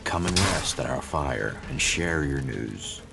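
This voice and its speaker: Sly outlaw boss, very deep creaky voice, drawling, mocking, game dialogue. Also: male voice, animated, slow, heavy background noise, full character voice. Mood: sly, evasive. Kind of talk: video game character dialogue.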